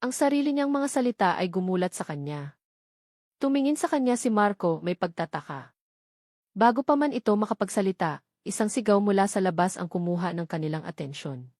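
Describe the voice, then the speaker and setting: A woman audiobook narrator reading a scripted dramatic story in measured, short phrases. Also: medium pitch, expressive, darkish tone, dry recording, clean studio sound.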